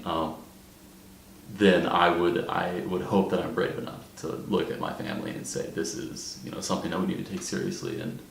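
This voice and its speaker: A man's voice: deep voice, creaky, fairly bright tone, slight background noise. A twenty-year-old man talking reflectively and informally in a documentary interview, thoughtfully correcting himself as he goes. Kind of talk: candid, reflective interview talk. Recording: roomy echo with faint music. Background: faint instrumental music.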